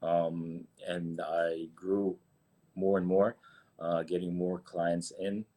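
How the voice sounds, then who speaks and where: A man's voice: deep voice, flat delivery, slow pace, dark tone. A man from Israel with an Israeli accent, speaking English as a guest in a casual interview, hesitant with many restarts. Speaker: guest, agency founder.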